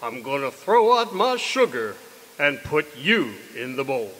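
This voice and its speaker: An actor playing a jaunty, folksy old-timer who jokes in slangy talk in an old-style radio comedy. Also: male voice, mid-range voice, big pitch swings, background noise. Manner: folksy, slangy, drawn-out punchlines. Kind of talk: 1940s radio comedy acting, live re-creation.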